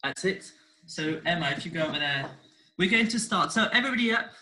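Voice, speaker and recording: A man with a high, bright voice leads a dance class step by step. He takes his time, encouraging people as he goes. The sound is roomy and distant, as from a microphone across a living room. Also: animated, brisk. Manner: encouraging, hesitant between instructions. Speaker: dance teacher. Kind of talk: step-by-step live dance instruction with counting. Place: living room at home, indoors. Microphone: laptop or webcam mic across the room.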